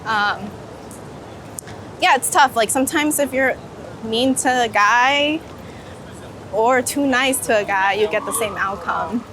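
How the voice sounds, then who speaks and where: A woman's voice: fairly high pitch, takes it slowly, long pauses, crowd noise. A woman chatting informally and casually in an interview outdoors on a city street. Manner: informal, chatty, laughs easily. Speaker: street photographer, guest. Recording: noisy city street with traffic.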